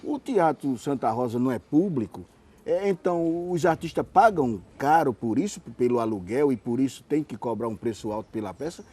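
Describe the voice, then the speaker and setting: Male interview host. Soft dark tone, breathy husk, roundabout conversational questioning. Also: medium pitch, lively intonation, fairly quick. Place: outdoor hotel terrace.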